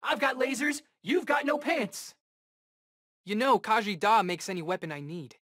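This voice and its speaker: A man's voice: sits high, moderate pace, a bit bright. A man voicing a cocky, wisecracking eighteen-year-old superhero in a video game, talking in slangy battle quips. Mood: cocky, teasing. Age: young adult.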